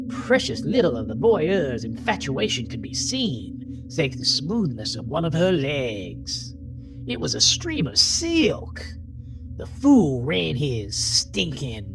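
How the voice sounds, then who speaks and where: A woman with a very deep voice reads dramatic horror narration at an unhurried pace. Her delivery is theatrical and swoops between hushed lows and higher peaks, with music clearly behind her. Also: rough recording. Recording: music bed under the narration.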